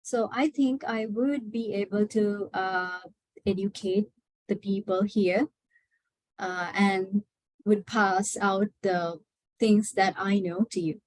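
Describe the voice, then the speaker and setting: Slow, hesitant young woman presenter. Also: medium pitch, steady tone, no room sound, rough recording. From Sri Lanka. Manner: hesitant, many filler sounds. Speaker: PhD student presenting a webinar. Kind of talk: webinar presentation.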